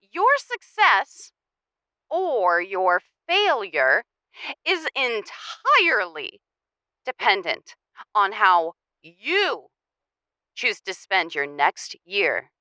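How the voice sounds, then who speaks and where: Strict uni lecturer acted by a woman, bright voice, swoops up and down, precise and stern. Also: a little high, dry recording, exaggerated voice. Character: strict, formal female university marketing lecturer. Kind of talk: scripted audio drama lecture.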